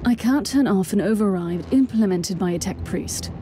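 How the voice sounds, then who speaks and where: A woman's voice: medium pitch, moderate pace, noisy background, natural voice. An actress playing a resolute, commanding female warrior inquisitor in a video game, with a blunt manner. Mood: businesslike. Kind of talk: scripted video game voice acting.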